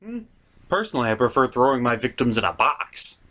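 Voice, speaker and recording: A man's voice: medium pitch, sing-song, a little fast, fairly bright tone. A man hosting a scripted comedy review, sarcastic and theatrical in delivery. His mid-range voice leaps and dips dramatically as he narrates. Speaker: reviewer host.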